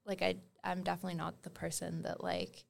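A woman's voice: lowish voice, monotone, brisk, creaky, a little hiss or hum. A young woman from Wales raised in Canada, a musician guest chatting casually on a podcast, full of fillers and trailing phrases.

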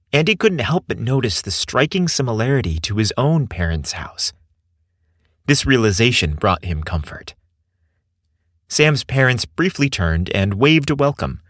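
A man's voice: fairly low pitch, a bit bright, no echo, studio quality. A man reading a scripted story as its narrator.

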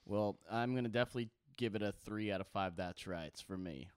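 A man's voice: lowish voice, brisk, darkish tone, clear, resonant tone, dry recording, clean studio sound. A man hosting a podcast in casual, teasing, wry banter with his co-host.

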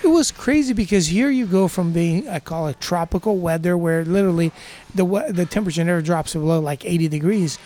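A man's voice: high voice, fairly quick, warm tone. A man in his fifties from Brazil, native speaker of Portuguese, chatting enthusiastically as an interview guest with surfer slang. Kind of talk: casual interview chat.

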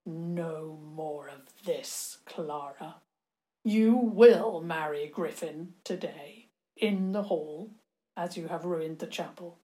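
A woman voicing a cruel older lord in a storybook, sarcastic and quietly threatening. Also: medium pitch, a little slow, natural voice, rough recording. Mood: quiet menace. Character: cruel, sneering lord, menacing older uncle.

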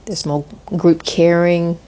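A woman in her forties, a guest in an interview, talking in a thoughtful, measured way with frequent restarts. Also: lowish voice, level tone, dark tone, rough recording. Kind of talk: reflective interview conversation.

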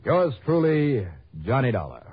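An actor playing a tough, sardonic American private investigator in a radio drama, with a punchy, wry delivery. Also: male voice, mid-range voice, very animated, unhurried. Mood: wry, matter-of-fact. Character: tough, sardonic male private investigator narrating his case. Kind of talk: 1950s-60s radio detective drama acting, first-person narration. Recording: dull vintage radio recording with music.

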